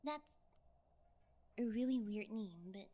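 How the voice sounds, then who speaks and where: A woman playing a cocky, callous kidnapper in an audio roleplay, with a flippant, teasing, drawn-out delivery. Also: mid-range voice, animated, dark tone. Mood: mocking, playful. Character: cocky, callous sociopathic female yangire kidnapper, flippant and taunting. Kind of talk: ASMR audio roleplay acting.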